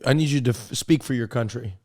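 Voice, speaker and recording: A man with a raspy voice chatting casually on a stream, joking and asking questions. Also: mid-range voice, fast, darkish tone.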